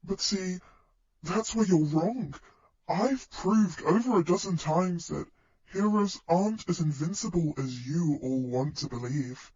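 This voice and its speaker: Guy doing young villain mastermind, higher voice, slow n smug threats, muffled lo-fi audio. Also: expressive, a little mellow, slightly rough, heavily acted. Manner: taunting, slow, deliberate. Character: young male villain mastermind, cheerfully menacing, theatrical. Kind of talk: podfic villain speech. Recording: dull lo-fi sound.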